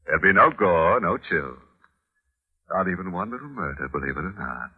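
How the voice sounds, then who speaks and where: A muffled vintage radio broadcast of a ghoulish horror host played by a man, very low and husky. He is theatrical and sardonic, relishing the macabre with mocking glee in 1940s radio style. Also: very animated, moderate pace, heavily acted. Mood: jovial, macabre.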